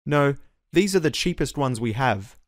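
A man reading short English reply lines slowly and clearly, repeating each phrase for learners. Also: mid-range voice, expressive, no room sound, clean studio sound. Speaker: dialogue reader for English learners. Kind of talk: slow scripted phrase repetition.

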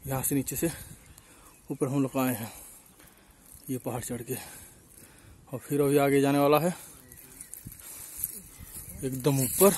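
A man's voice: mid-range voice, steady tone, takes it slowly, pauses often, darkish tone. A young man narrating a casual vlog on a handheld camera outdoors. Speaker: vlogger filming a hill trek. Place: outdoors on an open windy mountainside. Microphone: handheld phone or camera mic. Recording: noisy windy outdoor camera recording.

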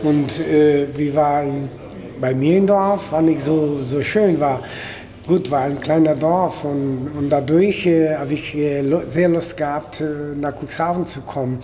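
Halting Portuguese-accented man, mellow voice. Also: fairly high pitch. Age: middle-aged. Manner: halting, searching for words with many fillers. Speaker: interviewee, fisherman. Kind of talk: casual interview. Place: indoor kitchen by a window.

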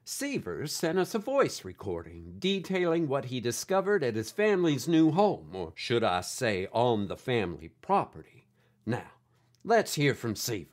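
A man's voice: medium pitch, wildly swooping pitch, moderate pace. A man playing a folksy, eccentric podcast host in an audio drama, with a theatrical way of talking. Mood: upbeat, welcoming. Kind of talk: scripted audio drama hosting.

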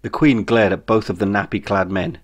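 Man reading his novel out loud, lowish voice, wry storyteller. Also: moderate pace. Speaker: author reading own novel. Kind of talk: scripted audiobook narration.

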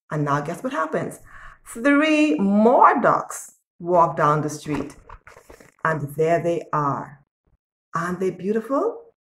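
A woman in her sixties reading a picture book aloud to young children in an expressive, deliberate way, as a storyteller. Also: lowish voice. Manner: expressive, deliberate, asks the listener questions. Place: small bare-walled room indoors. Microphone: phone or tablet at arm's length. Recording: echoey room, device at arm's length.

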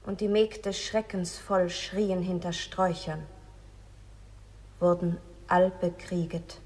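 A woman's voice: on the low side, steady tone, slow pace, darkish tone. An actress playing a sorrowful captive queen who gives witness in poetic radio play verse. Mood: lamenting. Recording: long hall reverb.

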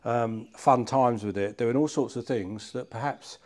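A middle-aged British man with an average-pitched voice talks casually while tinkering in a workshop. He is informal and self-deprecating, with everyday slang. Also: a little slow, darkish tone. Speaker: car collector and restorer presenting a channel. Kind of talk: casual chat while working on a car. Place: large workshop barn, indoors.

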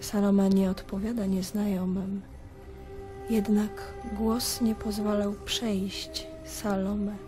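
A woman's voice: mid-range voice, little pitch movement, takes it slowly, over music, natural voice. An actress playing a grieving young princess, solemnly chanting her own tale in the third person in a radio drama. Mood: solemn, mournful.

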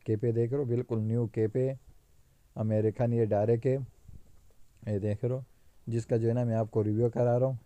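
A man with a Karachi Urdu accent shows off stock in a warehouse, talking into a handheld phone. His voice sits low and flat, and the sound is dry and close. His sales patter is insistent and repeats itself. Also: clean tone, no room sound. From Pakistan. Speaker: market trader showing stock. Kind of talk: casual sales walkthrough. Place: warehouse among sacks of goods. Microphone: handheld phone camera, speaker off screen.

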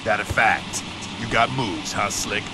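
A man playing a gruff, misanthropic skate legend in a video game, sardonic and dismissive. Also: on the low side, a little slow, background noise, natural voice. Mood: suspicious. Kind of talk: video game cutscene acting.

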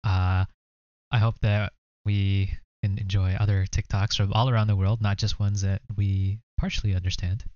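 A young man co-hosting a casual reaction chat, explaining things in a relaxed way with lots of fillers. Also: on the low side, normal speed, no room sound, highs cut off. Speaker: co-host.

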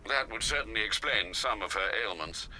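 An old english butler, formal and dry, really bright voice with lots of lilt, faint noise behind. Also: male voice, on the low side, animated, rough recording. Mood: dryly concerned. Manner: formal, measured, dryly polite. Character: older English male butler, courteous, dry, loyal assistant. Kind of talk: scripted video game dialogue.

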